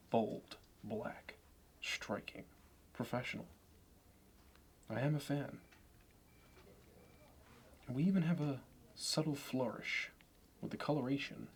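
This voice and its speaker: A man playing a polished, courteous and meticulous finance executive in an ASMR roleplay, speaking in a measured, halting and formal way. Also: medium pitch, creaky, slight background noise. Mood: meticulously appraising. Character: polished, courteous, obsessively appraising male Manhattan finance executive.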